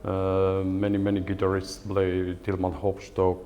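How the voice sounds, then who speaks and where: An older man with an Estonian accent gives a lecture on guitar in English, which is not his first language. He speaks slowly, with many pauses and filler sounds. His voice is low and mellow. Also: monotone, dull audio. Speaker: guitar professor giving a lecture. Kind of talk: lecture with halting non-native English. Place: lecture hall at a music academy.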